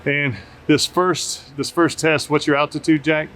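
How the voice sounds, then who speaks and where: A man's voice: fairly high pitch, a little slow. Man casually narrating over outdoor noise. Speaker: drone tester and video host. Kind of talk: casual field-test narration. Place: outdoors under an open shelter at an airfield. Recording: noisy outdoor camera audio, steady ambient hum.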